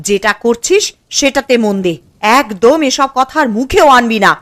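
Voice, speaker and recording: A woman's voice: a little high, lively intonation, moderate pace, a bit bright, dry recording, studio quality. Woman acting stern, scolding cartoon household elder. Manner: commanding, admonishing. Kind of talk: cartoon moral-story acting.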